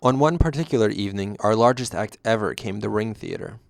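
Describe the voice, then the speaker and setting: A man's voice: on the low side, lively intonation, a little fast, vocal fry, no echo. A man playing a former usher, reflectively narrating his story in scripted first person.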